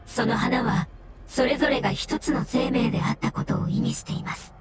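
A woman voicing a gentle, melancholy floating mushroom spirit in an anime game, with polite, solemn delivery. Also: high voice, normal speed, very noisy, lightly acted. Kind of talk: Japanese anime game voice acting.

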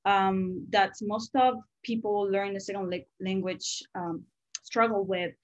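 A soft-spoken woman with a French accent tells a personal story over a video call. She sounds reflective and candid, and French is her first language. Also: mid-range voice, steady tone, unhurried, muffled recording. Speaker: former student.

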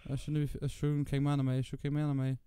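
A man with a Luxembourgish accent giving casual gameplay commentary, excitable and repeating words in quick bursts. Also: mid-range voice, clear, resonant tone. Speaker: gamer commentating own play.

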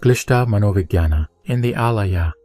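A man giving a steady, even reading of a Buddhist scripture. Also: fairly low pitch, normal speed, no echo. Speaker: sutra reciter. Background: soft background music.

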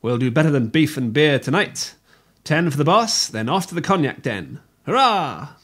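A cheeky troupe of women tumblers cheer brashly about their night out, as a man puts them on. The voice is raised and a touch high. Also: sing-song, normal speed, a bit bright, husky, full character voice. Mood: gleeful.

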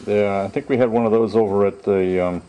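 A man's voice: fairly low pitch, fairly even intonation, a little fast, mellow, muffled recording. A man filming a barn tour, asking brief questions and nodding along. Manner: brief, encouraging backchannel. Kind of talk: casual interview questions.

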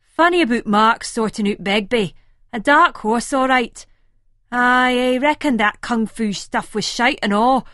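A woman's voice: on the high side, unhurried, a bit bright, no room sound, studio quality, voice pushed up. A woman reading an audiobook, voicing a breezy, boastful Scottish man with a Scottish accent. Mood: musing. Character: cocky, easygoing Scottish man.

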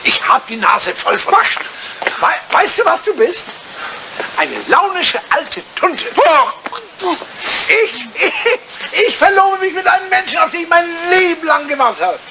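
Blustering old lord, high excitable voice. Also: male voice, a little slow, full character voice. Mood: furious. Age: older. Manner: blustering, sputtering, repeats himself. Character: irascible, self-pitying aging English lord, jilted suitor. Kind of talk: radio play acting. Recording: noisy old radio play recording with clicks.

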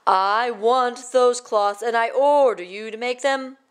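A woman voicing a greedy, boastful king in a storybook, with drawn-out, commanding delivery. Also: a little high, clean tone. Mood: demanding. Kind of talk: storybook read-aloud.

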